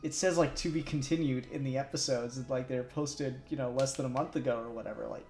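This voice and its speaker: A man's voice: mid-range voice, steady tone, fast, darkish tone. A man co-hosting a casual livestream, rambling and laughing as he thinks aloud while doing 3D modelling. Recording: echoey room with faint music. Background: faint music.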